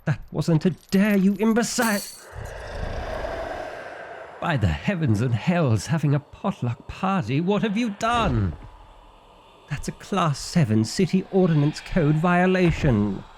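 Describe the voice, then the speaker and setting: A man's voice: on the high side, big pitch swings, measured pace, a little mellow, slight background noise. A man playing a fussy, exasperated vampire librarian in an ASMR roleplay, with an indignant, officious and dryly comic delivery. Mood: panicked, indignant.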